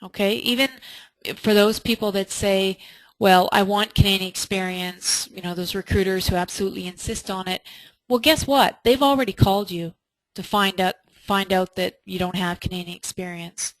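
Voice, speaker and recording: A woman's voice: medium pitch, fairly even intonation, normal speed, no echo, poor audio. Emphatic woman teaching a webinar, dry sound. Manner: emphatic, asks rhetorical questions. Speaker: webinar presenter and resume instructor. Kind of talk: webinar teaching, answering participants' questions. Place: indoors, online webinar.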